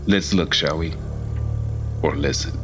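A very low, solemn voice of a mysterious astral guide played by a male actor, measured and commanding. The delivery is calm and cryptic, in the manner of a science-fiction audio drama, with music underneath. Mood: calm, probing. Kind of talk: scripted audio drama acting.

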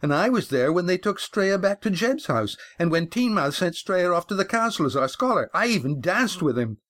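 A man's voice: high-pitched, very animated, normal speed, fairly bright tone, pitched above the performer's own voice. A man voicing a spirited, defiant teenage village girl who speaks in an accusing, insistent way in a storybook reading.

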